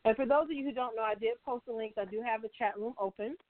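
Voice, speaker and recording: A woman hosting an online radio interview in an informal, somewhat halting way, repeating words as she goes. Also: a little high, no room sound. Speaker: host of online radio show. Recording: tinny phone-line internet radio.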